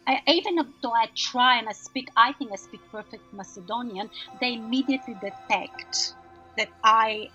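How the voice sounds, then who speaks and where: A woman's voice: a little high, moderate pace, sharp tone, soft background music. A woman born in Poland, a guest meditation guide, chatting casually with many fillers and laughs. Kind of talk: casual conversation. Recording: dull lo-fi audio.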